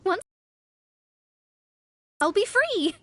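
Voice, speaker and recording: Haughty young female astrologer for a game: very high voice, theatrical, easily flustered, dry recording over soft music. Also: big pitch swings, extremely slow, dry recording, exaggerated voice. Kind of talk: video game character acting.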